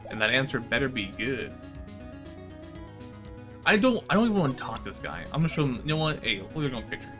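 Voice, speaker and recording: A man giving casual, slangy gaming livestream commentary, swearing and chatting to his viewers. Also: mid-range voice. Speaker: live streamer.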